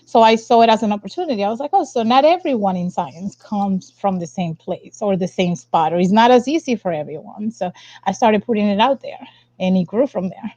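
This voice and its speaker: A woman's voice: medium pitch, a little fast, dark tone, no room sound. Young woman on a muffled video call. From Dominican Republic. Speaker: interview guest, science communicator. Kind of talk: casual personal storytelling. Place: indoor room, on a video call. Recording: dull lo-fi video call audio.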